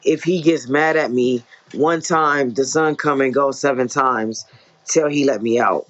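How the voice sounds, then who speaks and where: A man's voice: medium pitch, steady tone, unhurried, fairly bright tone, highs cut off, lightly acted. A man voicing an innocent, shy, affectionate little boy in a read-aloud story, with childlike broken grammar. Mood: shy, hurt.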